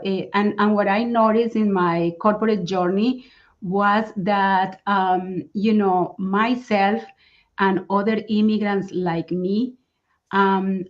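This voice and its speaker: Slow-talking Colombian woman, muffled call audio. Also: medium pitch. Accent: Colombian Spanish. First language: Spanish. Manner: earnest, many fillers and restarts. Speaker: guest, mindfulness coach. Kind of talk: personal story in a casual interview. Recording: dull lo-fi sound.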